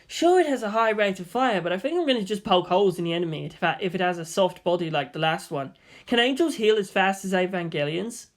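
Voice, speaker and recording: A woman voicing an earnest, odd teenage boy pilot with a dramatic, deliberate manner. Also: medium pitch, animated, lightly acted. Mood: earnest, intense.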